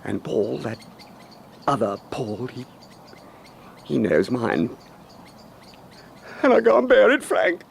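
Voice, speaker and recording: An actor playing an anxious middle-aged English husband in a radio science fiction mystery, with a British accent and halting, agitated speech that repeats words. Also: male voice, medium pitch, wildly swooping pitch, vocal fry, slight background noise, heavily acted. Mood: anguished. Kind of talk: radio drama acting.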